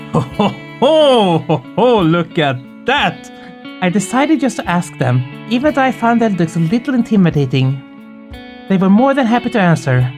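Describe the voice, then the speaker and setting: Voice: mid-range voice, a little mellow. A game streamer with an informal, candid, somewhat hesitant way of talking, chatting casually and reading visual novel text aloud. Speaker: host of a visual novel playthrough channel.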